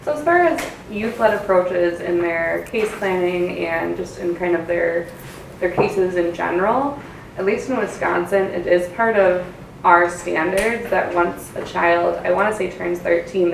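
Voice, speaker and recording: An American woman with a creaky, lowish voice poses a question from the audience. She is picked up at a distance in an echoey room. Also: slight background noise. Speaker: audience member asking a question. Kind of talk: considered, informal question.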